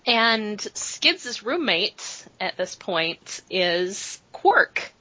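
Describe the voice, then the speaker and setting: A woman hosting a podcast talks in a bright, somewhat husky voice. She rambles casually and fills her sentences with "uh" and restarts. The recording is dull and lo-fi, with a dry, close sound. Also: mid-range voice, very animated, extremely slow. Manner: chatty and rambling, full of fillers. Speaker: podcast host. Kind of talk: casual chat, recapping comics.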